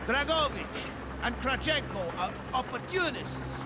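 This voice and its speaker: A commanding Russian officer speaks in a very high register for a man. He is forceful, clipped and distrustful, in a game cutscene thick with loud rain and engine noise. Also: very animated, measured pace, heavily acted. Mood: grim, wary. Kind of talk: dramatic game cutscene acting.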